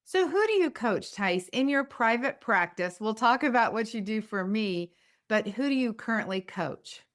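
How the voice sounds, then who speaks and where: A woman's voice: fairly high pitch, expressive, normal speed. A woman hosting a business-coaching podcast, interviewing a guest with an enthusiastic, effusive manner.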